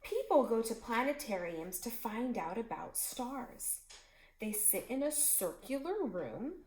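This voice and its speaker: A woman reads a children's book aloud to her class. Her delivery is slow and deliberate, and her pitch swings widely as she tells the story. The recording picks up a noticeably echoey room. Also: big pitch swings, darkish tone, a little hiss or hum. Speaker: teacher reading aloud.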